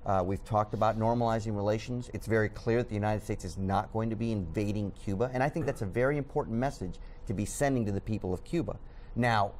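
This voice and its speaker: A man's voice: fairly low pitch, a little mellow, a little hiss or hum. A middle-aged man whose first language is Spanish, a news editor talking earnestly as a guest in a studio TV interview, with many fillers. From Southern California.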